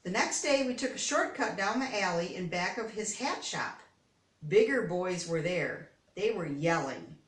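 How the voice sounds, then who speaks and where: A woman's voice: on the low side, expressive, measured pace. Echoey, distant older American woman reading aloud. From Detroit, United States. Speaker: storybook reader. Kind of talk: read-aloud of a children's picture book with casual asides. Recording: roomy, echoey, distant microphone.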